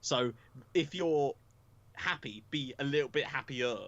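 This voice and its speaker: A man's voice: mid-range voice, unhurried, fairly bright tone, dull audio. A young man chatting frankly as a podcast guest, self-deprecating and swearing casually. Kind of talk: casual chat.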